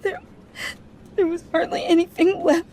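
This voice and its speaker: An actress playing a grieving, determined young woman in a video game, accusing, with a halting, emotional and intense delivery. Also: very high-pitched, flat delivery, dark tone. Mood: tearful, confused.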